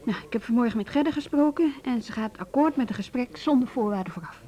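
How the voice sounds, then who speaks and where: Radio play: woman as a firm, fairly high-voiced music teacher, insistent. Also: lively intonation, quick pace, mellow, lo-fi sound, lightly acted. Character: firm, earnest female music teacher Hanneke. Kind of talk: radio drama acting.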